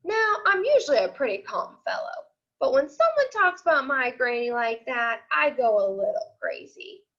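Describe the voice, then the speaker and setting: A woman voicing a chatty, aggrieved male wolf who pleads his innocence in a storybook read-aloud, with expressive, conversational delivery. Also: sits high, moderate pace, dull audio, heavily acted. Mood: indignant, innocent. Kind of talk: storybook read-aloud, first-person narration.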